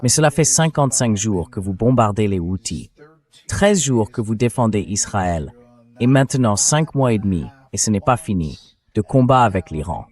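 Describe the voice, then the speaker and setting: A man's voice: on the low side, lively intonation, moderate pace, darkish tone. An AI-voiced man speaking as a talk show host, giving dubbed political commentary. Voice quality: synthetic AI voice.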